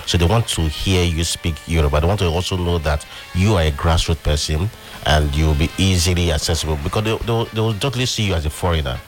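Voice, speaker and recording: A Nigerian man with a very low voice talks on a panel show. He explains his point earnestly and at length, building the case step by step. His tone stays steady and serious. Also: quick pace, few pauses, music in the background. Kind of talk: studio panel discussion.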